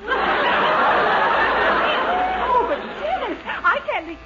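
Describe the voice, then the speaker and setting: A woman's voice: extremely high pitch, very animated, a little fast, over a noisy crowd. A woman playing a gushing, flirtatious and jealous spinster telephone operator in a 1940s radio sitcom. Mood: eager, lovestruck. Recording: dull, very noisy 1940s radio with audience.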